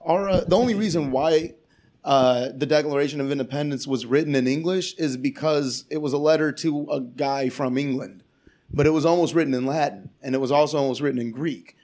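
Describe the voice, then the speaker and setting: A man's voice: fairly high pitch, fairly quick, darkish tone. A man, a comedian guest on a podcast, ranting in casual chat with sarcasm and swearing. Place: Portland area.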